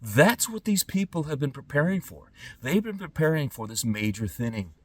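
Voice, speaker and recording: A middle-aged man speaking an unscripted podcast monologue in emphatic, halting phrases with pointed pauses. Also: a little high, very animated, darkish tone, distant mic. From born in Trinidad and Tobago, lived in California. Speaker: podcast host.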